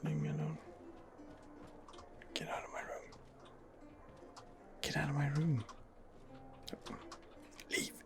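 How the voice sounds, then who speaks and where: A man giving hushed ASMR game commentary in a breathy whisper, musing hesitantly to himself as he plays. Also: a little high, huge pitch swings, takes it slowly, long pauses, over music. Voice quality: breathy whisper with gravelly creak. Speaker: gameplay host.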